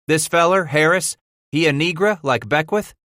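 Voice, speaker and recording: A man acting a curious, rough frontier trapper with a rustic backwoods dialect in an audiobook. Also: fairly high pitch, normal speed, sharp tone, no room sound, studio quality, pitched above the performer's own voice.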